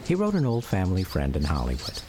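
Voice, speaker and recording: A man's voice: deep voice, wildly swooping pitch, quick pace, noisy background. An American man narrating his family's history in a scripted radio documentary drama. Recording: music behind the voice.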